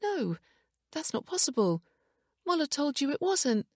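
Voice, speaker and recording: A woman's voice: high voice, very animated, measured pace, no echo, studio quality, pitched above the performer's own voice. A woman voicing an anxious, frightened wife in an audiobook, with a tremulous, pleading manner. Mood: shocked, distraught. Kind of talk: audiobook character dialogue.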